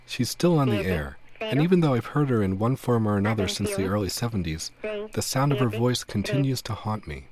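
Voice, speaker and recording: A man's voice: fairly low pitch, lively intonation, fairly quick, a crowd in the background, poor audio. A middle-aged man narrating a radio documentary in the first person from a script. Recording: loud shortwave static behind voice.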